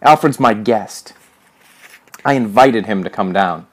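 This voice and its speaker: A man's voice: on the low side, measured pace. A man voicing a chubby, loyal young man in a story, a torn peacemaker with casual, persuasive street talk. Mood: casual, protective.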